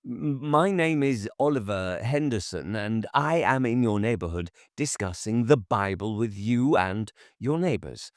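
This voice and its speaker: A man voicing an earnest, nervous young male preacher who speaks brightly and stammers. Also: lowish voice, expressive, measured pace, hardly any pauses, dry recording, studio quality, voice pushed up.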